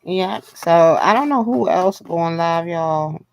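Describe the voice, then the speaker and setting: A woman's voice: fairly low pitch, slow pace, vocal fry, a little mellow, low-quality recording. A woman hosting a casual live stream, joking and slangy with her guests. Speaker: livestream host.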